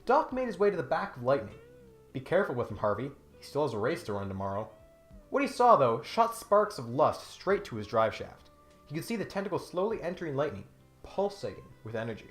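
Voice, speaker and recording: Sardonic man with lively, swooping delivery. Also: mid-range voice. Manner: sardonic, exasperated asides. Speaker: host of a comedic critique video. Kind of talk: scripted comedic narration with mock-serious readings and exasperated asides. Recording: roomy sound with faint music behind. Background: faint music.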